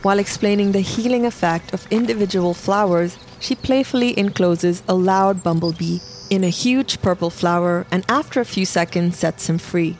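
A woman's voice: mid-range voice, normal speed, few pauses, a little mellow, slight background noise. A woman reads scripted documentary narration as the narrator of a reportage.

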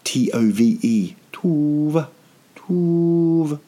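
A man's voice: a little high, very slow, mellow. A man in his thirties, rambling through a comic vlog with dry, self-mocking asides and lots of ums. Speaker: vlogger.

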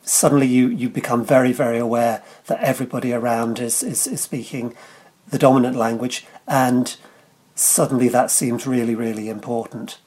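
A man in his sixties, a science writer answering interview questions in a halting way, often repeating words. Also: medium pitch, steady tone, a little mellow, husky.

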